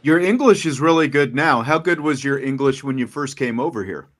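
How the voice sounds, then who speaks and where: A man's voice: mid-range voice, lively intonation, a little fast, a bit bright. Rambling man asking interview questions. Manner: rambling questions with repeated restarts. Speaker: interviewer, radio play-by-play announcer. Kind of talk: conversational interview.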